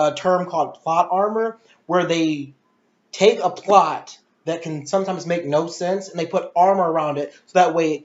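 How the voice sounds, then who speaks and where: High-pitched man ranting in echoey room. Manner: emphatic, ranting, rhetorical questions. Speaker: movie reviewer. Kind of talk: opinionated film commentary in casual chat. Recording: muffled, echoey room recording.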